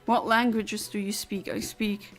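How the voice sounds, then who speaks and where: Woman, gravelly fry, blunt and literal, casual streamer chat. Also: mid-range voice, little pitch movement, measured pace, faint music behind. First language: Luxembourgish. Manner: blunt, literal and chatty, with many restarts. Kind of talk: casual chat answering a livestream chat.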